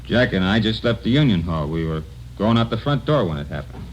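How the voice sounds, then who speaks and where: An actor playing a surly, defiant, hot-headed truck driver, blunt and resentful, in a 1950s radio drama. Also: male voice, lowish voice, sing-song, a little fast, dull audio. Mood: defiant, insistent. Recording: noisy old radio recording.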